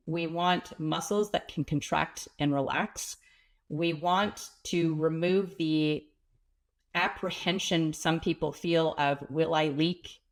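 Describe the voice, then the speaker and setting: A woman with a cold and a slightly stuffy, nasal voice, a pelvic health expert calmly explaining things as an interview guest. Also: fairly low pitch. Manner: earnest, explanatory, some restarts. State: has a cold, sniffling.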